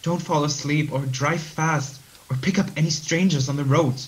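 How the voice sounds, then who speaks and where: A man's voice: on the high side, fairly even intonation, moderate pace, close to an everyday voice, low-quality recording. An actor playing a worried, tender father in a radio play, pleading and affectionate. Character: worried, tender father seeing off his grown child.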